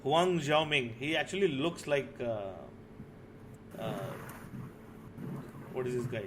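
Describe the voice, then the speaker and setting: A man's voice: mid-range voice, slow pace, a bit bright, slight background noise. A man in a casual group discussion as a podcast co-host, informal and insistent in his manner.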